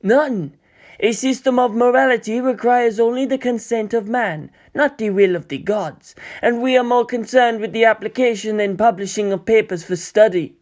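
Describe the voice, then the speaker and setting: Wise elderly monk acted by a man, patient mentor, formal measured delivery, storybook reading. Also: very high voice, voice pushed up. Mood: solemn, patient. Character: wise old male mountain monk, patient teacher.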